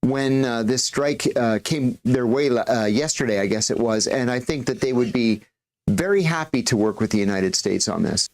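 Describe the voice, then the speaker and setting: Man, TV news guest, mid-pitched, measured, confident. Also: a little mellow. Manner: measured, confident, a few hesitations. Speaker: commentator interviewed on TV. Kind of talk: TV news interview.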